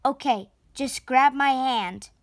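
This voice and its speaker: A woman's voice: high-pitched, takes it slowly. A young girl voicing a tiny, timid, kind elephant schoolgirl in a storybook, meek and earnest. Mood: eager, helpful.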